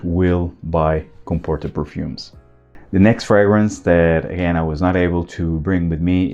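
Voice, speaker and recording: A man's voice: low-pitched, warm tone, muffled recording. A man with a Portuguese accent giving a casual, enthusiastic video review as a channel host.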